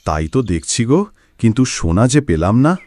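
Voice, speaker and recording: Simple village farmer, agreeable and naive, lively cartoon pitch swings. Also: male voice, brisk, dry recording. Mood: cheerful. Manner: naive, hesitant, theatrical. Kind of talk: cartoon moral-story voice acting.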